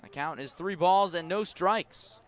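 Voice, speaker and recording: Higher-voiced man calling baseball play-by-play. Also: unhurried. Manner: descriptive, storytelling between pitches, rising to excited calls on big plays. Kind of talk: live radio baseball commentary.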